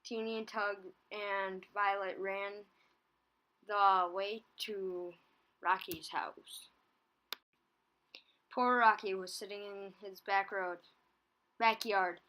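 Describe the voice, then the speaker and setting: A woman narrating a children's story in a halting read-aloud manner, stumbling here and there. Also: mid-range voice, steady tone, pushed and bright, rough recording.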